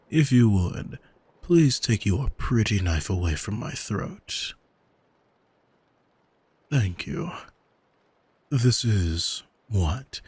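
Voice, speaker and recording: Man playing a languid, husky, arrogant male demon. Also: fairly low pitch, darkish tone, no echo, heavily acted. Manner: languid, teasing, commanding, condescending. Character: arrogant male demon, seductive, condescending, coolly manipulative captor. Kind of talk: ASMR roleplay audio drama, intimate address to listener. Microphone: close microphone, dry.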